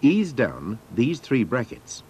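A man's voice: medium pitch, huge pitch swings, takes it slowly, a little mellow, slight background noise. A man narrating scripted instructions for a training film in a formal, methodical manner.

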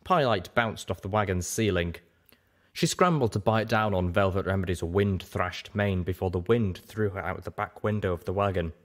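A man's voice: low-pitched, normal speed. A man narrating a scripted story as a dramatic audiobook reader.

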